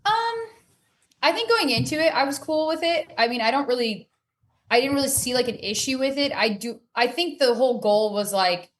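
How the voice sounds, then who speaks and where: A woman's voice: high voice, animated, normal speed. Emphatic woman in casual podcast talk. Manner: emphatic, opinionated. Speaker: competitive cornhole player being interviewed.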